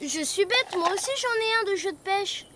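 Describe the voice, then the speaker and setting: A performer voicing an excitable little child donkey, a playful friend in a preschool cartoon, with an animated childlike delivery. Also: very high voice, brisk, noisy background. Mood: boastful, eager. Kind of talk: preschool cartoon voice acting in French. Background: loud cartoon music.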